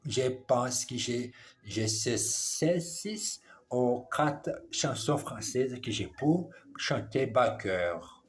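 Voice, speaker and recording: A man records himself on his phone as he learns French. He speaks slowly at a middle pitch, often stopping to repeat and correct himself as he works through lines of dialogue. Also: animated. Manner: halting, repeats and self-corrects, reads dialogue lines aloud. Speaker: language learner. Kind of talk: casual language practice vlog. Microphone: phone camera.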